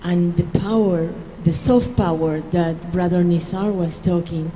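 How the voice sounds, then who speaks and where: A woman's voice: fairly low pitch, fairly even intonation, a little slow, dark tone, slight background noise, poor audio. A woman from Israel giving a short earnest speech, inviting the audience to join in. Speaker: guest speaker. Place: large hall on stage.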